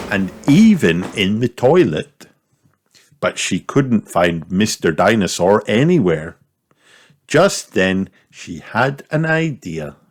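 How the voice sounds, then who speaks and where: A man reading a children's picture book aloud in an expressive storytelling manner. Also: on the low side, a little hiss or hum. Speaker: storybook reader. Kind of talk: scripted children's story narration.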